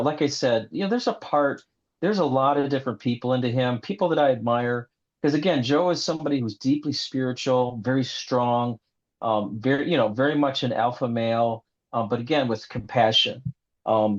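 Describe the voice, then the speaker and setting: Rambling author guest on a dull line. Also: male voice, mid-range voice, darkish tone. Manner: friendly, rambling, many fillers. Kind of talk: interview.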